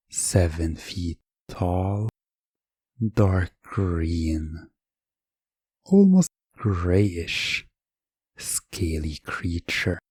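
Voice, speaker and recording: A man narrating a scripted second-person story for hypnosis ASMR, measured and deliberate, with dramatic pauses. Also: sits low, a little mellow, husky, no echo, clean studio sound.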